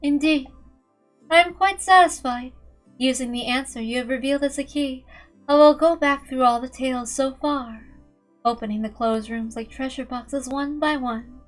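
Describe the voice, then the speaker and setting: An ancient, regal witch as a woman reader plays her, speaking softly and languidly. The voice is high and indulgent, with a contented air. Faint music sits underneath. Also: normal speed, natural voice. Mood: pleased, languid. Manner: soft-spoken, regal, indulgent.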